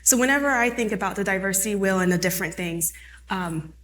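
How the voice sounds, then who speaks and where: A woman's voice: mid-range voice, fast talker, a bit bright, slight background noise, low-quality recording. A woman in her thirties whose first languages are French and Haitian Creole, presenting a conference training session and often asking the audience questions. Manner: conversational, asks the audience questions. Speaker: workshop presenter.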